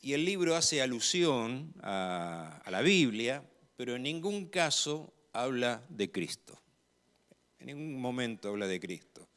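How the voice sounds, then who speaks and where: A man with an Argentine accent preaching a sermon in a measured, emphatic way, growing louder on key phrases. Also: medium pitch, very animated, clear, resonant tone.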